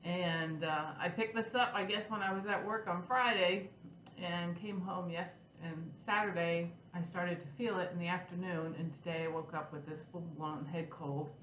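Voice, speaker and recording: A woman's voice: lowish voice, steady tone, normal speed, a bit bright. Congested middle-aged woman with a head cold. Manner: chatty, with a frustrated rant. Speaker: flosstube host. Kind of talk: casual vlog chat. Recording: echoey room with faint noise.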